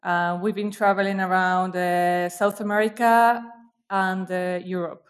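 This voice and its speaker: A woman's voice: fairly low pitch, little pitch movement, unhurried. A woman from Argentina, a guest in a casual interview over a video call, talking with frequent fillers and restarts. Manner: hesitant, many fillers and restarts.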